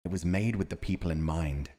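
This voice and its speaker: A man's voice: low voice, fairly quick, dark tone. A man acting a principled, earnest army commander advising a monarch in a fantasy audio roleplay, speaking in a formal, emphatic, righteous way. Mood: resolute.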